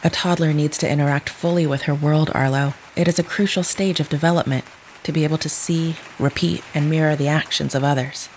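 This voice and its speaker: A woman's voice: sits low, a little fast, rough recording. Casting: formal, literal-minded woman caregiver, stilted and exact diction, audio drama, faint rain behind. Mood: didactic. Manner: stilted, precise, clinical phrasing without contractions. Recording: steady rain noise behind the voice.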